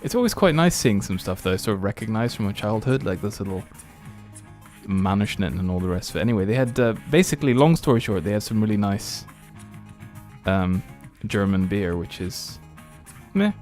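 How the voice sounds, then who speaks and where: A man's voice: fairly low pitch, lively intonation, a little fast, a little mellow, faint music behind. A man from Austria hosting an entertainment podcast in a casual, rambling and hesitant chat style. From born and partly raised in Austria. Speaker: podcast host.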